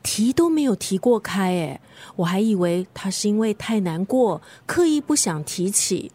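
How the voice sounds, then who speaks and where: An actress playing a frank, chatty middle-aged best friend in a radio drama, with a Singaporean accent. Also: female voice, medium pitch, animated, normal speed, mellow, close to an everyday voice. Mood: concerned. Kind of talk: Mandarin radio drama acting.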